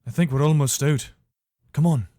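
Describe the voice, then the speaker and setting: A man's voice: a little high, moderate pace, warm tone, no room sound, studio quality, close to an everyday voice. A man playing a dutiful, weary but decent imperial soldier in a fantasy video game, speaking earnestly in short, commanding phrases. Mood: urgent, commanding. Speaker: voice actor for a game mod.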